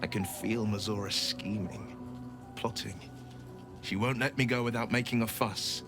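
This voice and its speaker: A man's voice: lowish voice, fairly even intonation, moderate pace, music in the background, lightly acted. A man playing an earnest, heroic devil hunter in a video game, speaking with solemn, dramatic feeling. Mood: earnest, wary. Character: earnest heroic male devil hunter bound by infernal pact. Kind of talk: video game character acting.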